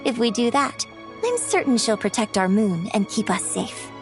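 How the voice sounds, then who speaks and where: Poised polite young woman in an anime game, high lilting voice, formal and gracious, soft music behind. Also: big pitch swings, normal speed. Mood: calm, explanatory. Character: poised, gracious young woman opera singer, gently instructive.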